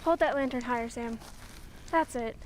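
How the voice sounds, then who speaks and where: A woman's voice: on the high side, normal speed, slight background noise, natural voice. A woman playing an easily alarmed, indignant villager with earnest, theatrical old-time radio acting. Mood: uneasy, curious.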